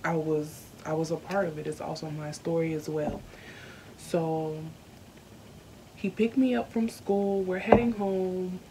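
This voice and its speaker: A young woman from the South, candidly telling a personal story on her vlog, earnest and slowed by emotion. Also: lowish voice, steady tone, noisy background. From Southern United States. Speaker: YouTuber. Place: indoors at home.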